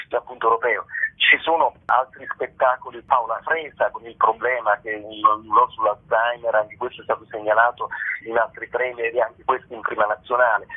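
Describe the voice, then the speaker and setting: A man being interviewed as a festival curator, explaining a programme off the cuff in a halting, wordy way over a telephone line. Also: lowish voice, husky. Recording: muffled narrowband telephone line on radio.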